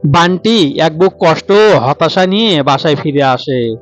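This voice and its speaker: A man's voice: a little high, measured pace, faint music behind. A man narrating a scripted cartoon story as its storyteller.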